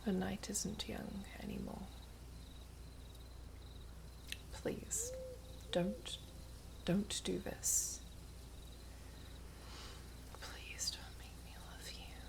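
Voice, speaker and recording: Woman as lovelorn elven princess, ASMR roleplay, breathy whisper, creaky edge, tender, pleading, noisy ambient backdrop. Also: mid-range voice. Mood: pleading, torn. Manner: soft, breathy, halting whisper, slow and pleading.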